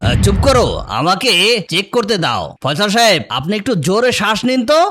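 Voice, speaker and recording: A man's voice: sits high, big pitch swings, a little fast, a bit bright, faint music behind, heavily acted. A man voicing a grave, bossy, self-important doctor in a comedy cartoon, with a pompous delivery. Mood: authoritative.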